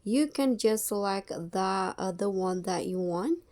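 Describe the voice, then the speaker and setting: Mellow-voiced woman presenting a tutorial. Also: medium pitch, expressive, measured pace. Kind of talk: step-by-step screen tutorial voice-over.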